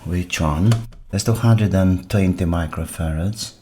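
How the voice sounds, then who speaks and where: A man thinking aloud while he repairs electronics, muttering to himself in short fragments with pauses. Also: low-pitched, mellow, slight background noise. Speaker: electronics repair video maker.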